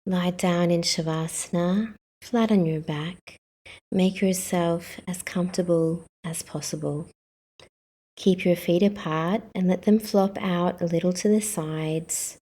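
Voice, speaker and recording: A woman guiding a yoga nidra meditation as the instructor, speaking calmly in short, measured instructions. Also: on the low side. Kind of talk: guided meditation.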